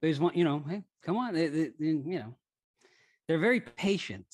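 A man's voice: on the high side, lively intonation, normal speed. A man who grew up in Hawaii, chatting casually and telling rambling anecdotes with many restarts. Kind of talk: casual chat.

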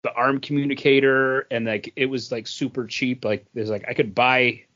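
A middle-aged man co-hosting a podcast, chatting casually about pop culture in a rambling, halting way. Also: medium pitch, flat delivery, a bit bright. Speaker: podcast co-host. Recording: dull lo-fi podcast audio.

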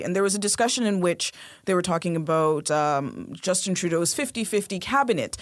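A woman's voice: on the low side, no room sound, studio quality. A woman interview guest, talking in a direct, emphatic way. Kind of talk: interview conversation.

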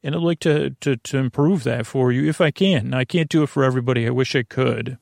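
A man hosting a podcast with a meandering, halting, digressive bedtime monologue. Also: medium pitch, gravelly, a little mellow, no echo, studio quality. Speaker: podcast host.

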